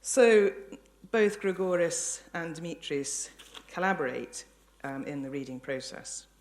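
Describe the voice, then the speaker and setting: Woman lecturing, low measured delivery. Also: animated, darkish tone. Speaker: professor giving a public lecture. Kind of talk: lecture with illustrative storytelling.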